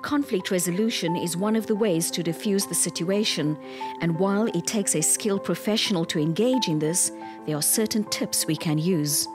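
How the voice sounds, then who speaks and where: Woman, magazine show narrator, scripted, lowish pitch, music behind. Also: normal speed.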